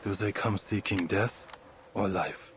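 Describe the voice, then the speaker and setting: Low, solemn, brooding soldier. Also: male voice, steady tone, noisy background. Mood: grave, brooding. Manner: grave, poetic, measured phrasing. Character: solemn, brooding soldier speaking in fatalistic pronouncements.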